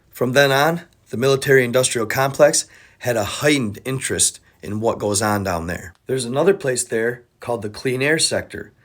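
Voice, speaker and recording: A man presenting an explainer as a content creator, with a conspiratorial storytelling manner and rhetorical questions. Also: mid-range voice. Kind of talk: explainer narration to camera. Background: background music.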